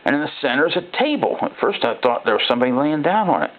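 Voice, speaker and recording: A man voicing a frightened ordinary guy telling his own horror story, halting and rattled, with a gravelly voice. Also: fairly high pitch, sing-song, pitched above the performer's own voice. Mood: incredulous. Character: frightened ordinary man recounting robot replacements. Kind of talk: first-person dramatic horror narration. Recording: thin, phone-like narrow-band sound.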